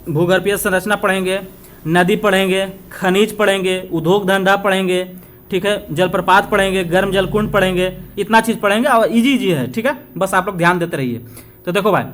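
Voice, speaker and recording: High-pitched man teaching informally. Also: fairly bright tone. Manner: repetitive, asks questions then answers them, chatty check-ins with listeners. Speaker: exam-prep teacher. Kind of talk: informal lecture, quick question-and-answer revision.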